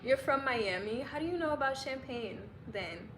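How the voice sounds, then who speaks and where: A woman's voice: sits high, animated, moderate pace, a bit bright, soft background music. A young woman from Illinois in the American Midwest, chatting playfully on a livestream and answering viewers' guesses. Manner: playful, laughs often, guesses aloud at viewers' comments. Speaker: livestream host. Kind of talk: casual livestream chat reading comments. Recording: echoey room with clear background noise.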